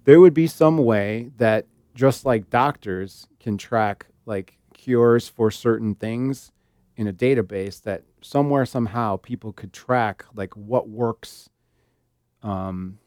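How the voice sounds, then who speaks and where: A man's voice: lowish voice, slow, a little mellow, no room sound, studio quality. A middle-aged man co-hosting a casual podcast, with a wry, joking way of talking. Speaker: podcast co-host.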